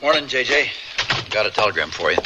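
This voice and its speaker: A man's voice: on the low side, big pitch swings, quick pace, heavy background noise. An actor playing a kindly, folksy townsman in a radio drama. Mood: kindly, solemn.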